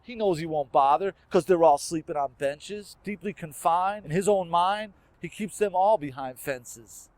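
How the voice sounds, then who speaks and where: A man's voice: high-pitched, normal speed, hardly any pauses, a little mellow, no room sound. A man performing rhymed spoken word poetry with a rhythmic, emphatic and earnest delivery.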